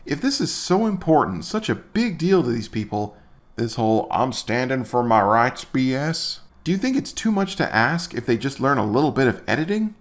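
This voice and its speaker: Sarcastic, animated male commentary host. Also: medium pitch, moderate pace. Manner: sarcastic, exasperated ranting. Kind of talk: comedic commentary skit.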